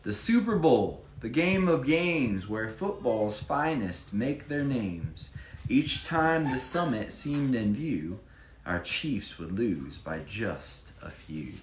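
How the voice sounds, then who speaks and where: A man's voice: mid-range voice, big pitch swings, takes it slowly. A man, a children's book author, reading a rhyming picture book aloud with dramatic emphasis and informal asides. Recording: echoey room, faint background noise.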